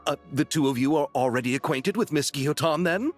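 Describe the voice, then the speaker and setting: A man's voice: fairly high pitch, a little fast, faint music behind, lightly acted. Actor as a mild, courteous young man. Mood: hesitant. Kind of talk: video game voice acting.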